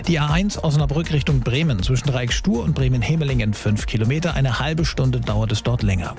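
A man's voice: mid-range voice, fairly quick. A man presenting on the radio in a chatty, informal way. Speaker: radio host. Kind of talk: casual radio presenting, weather and traffic. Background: music bed.

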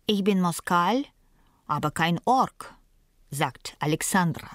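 Low-voiced woman narrating a feature. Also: lively intonation, slow pace, dry recording, studio quality. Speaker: reporter and narrator.

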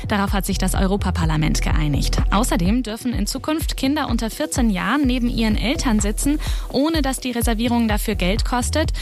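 A woman presenting radio news in a clear, matter-of-fact scripted delivery. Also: medium pitch. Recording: radio studio with faint music bed.

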